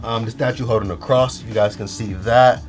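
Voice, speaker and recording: A man's voice: on the low side, fairly even intonation, moderate pace, soft background music. A young man with a cold and a stuffy, nasal voice, talking casually and loosely through a clothing haul with lots of slang and fillers. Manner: laid-back, slangy, rambling with fillers. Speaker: fashion vlogger.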